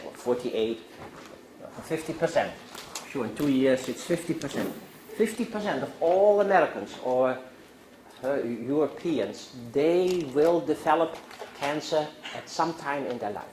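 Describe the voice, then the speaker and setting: Older Dutch-accented man lecturing in echoey classroom. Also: medium pitch. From Netherlands. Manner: discursive, halting restarts, rhetorical questions to students. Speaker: guest lecturer. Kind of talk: university lecture. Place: university classroom. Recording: echoey classroom with faint noise.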